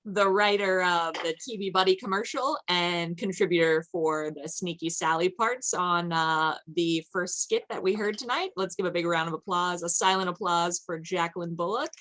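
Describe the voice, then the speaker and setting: A woman's voice: lowish voice, moderate pace, hardly any pauses, fairly bright tone. A woman hosting a live show informally, reading credits and introducing performers.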